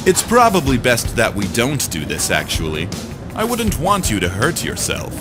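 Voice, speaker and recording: A man's voice: mid-range voice, huge pitch swings, moderate pace. A man playing a cocky, taunting young pilot in a voiced game, goading and condescending. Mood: mock concern. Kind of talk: voiced visual novel game acting. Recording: game music behind the voice.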